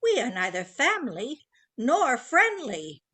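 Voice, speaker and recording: An imperious older society mother from a comic radio play. Her voice is high and sharp, swinging dramatically as she looks down on everyone with disdain. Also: wildly swooping pitch, measured pace, husky, heavily acted. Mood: disapproving, haughty. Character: haughty, domineering older society mother, disapproving and melodramatic. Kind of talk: comic old-time radio play acting.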